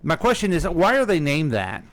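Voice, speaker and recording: A man's voice: fairly high pitch, sing-song, moderate pace, vocal fry, no room sound. Chatty male radio host. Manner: chatty, playful, leads the questions. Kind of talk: casual radio chat. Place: radio studio. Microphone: studio microphone.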